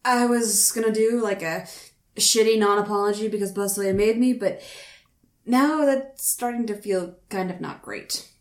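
A woman's voice: medium pitch, a little slow, reverberant, pitched above the performer's own voice, lightly acted. Woman doing a rambling, sheepish young man. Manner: rambling, self-deprecating, fillers.

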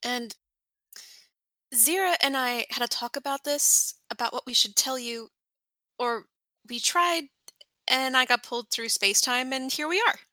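A woman's voice: high-pitched, sharp tone, no room sound, pitched above the performer's own voice. A woman reading an audiobook, voicing a nervous, fond male demon who halts and corrects himself as he talks. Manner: halting, fumbling, self-correcting. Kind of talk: fan audiobook character voice.

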